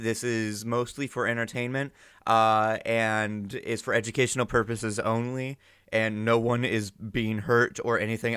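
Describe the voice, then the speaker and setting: Guy doing reaction commentary, rambly n casual, bright forceful voice, dry close mic. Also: mid-range voice, clean tone, no echo. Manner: rambling, informal, swears. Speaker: reaction video host.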